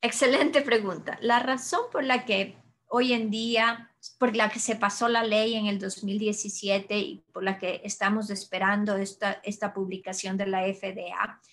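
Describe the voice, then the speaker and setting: A woman in her thirties, an audiologist, answering audience questions in a webinar over a video call, calm and explanatory with frequent restarts. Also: medium pitch, moderate pace. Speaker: audiologist presenter. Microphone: webcam video call.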